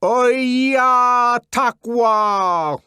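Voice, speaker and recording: A man voicing an exasperated young man who shouts flat refusals through a door with derisive ferocity. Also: extremely high pitch, very slow, smooth, dry recording, studio quality, pitched above the performer's own voice, full character voice. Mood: furious shout.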